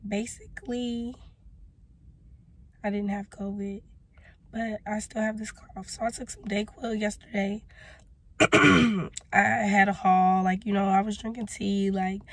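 A young woman with a hoarse voice, explaining herself in a casual vlog from her parked car. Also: medium pitch. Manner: defensive, explaining, gestures with her hand. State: hoarse, recovering from losing her voice. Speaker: small business owner vlogger. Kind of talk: casual direct-to-camera talk. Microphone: phone camera close up.

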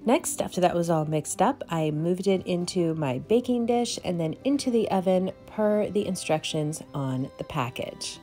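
A woman's voice: fairly low pitch, expressive, moderate pace, few pauses, dark tone. Cheerful woman in her 30s talking thru recipes at home, camera close, some music under. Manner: chatty, upbeat, walks through recipes step by step. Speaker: vlogger. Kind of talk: casual cooking vlog and voice-over. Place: home kitchen, then a room with bookshelves.

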